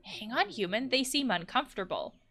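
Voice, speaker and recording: A woman's voice: fairly high pitch, animated, fairly quick, fairly bright tone. A woman voicing an anxious, needy guard wolf from a visual novel game, dramatic and pleading, prone to alarm. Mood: worried, suspicious.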